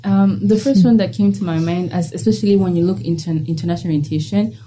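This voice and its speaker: Earnest young woman, very dark tone. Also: on the low side, fast talker, slight background noise, rough recording. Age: young adult. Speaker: international college student guest. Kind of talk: casual conversation.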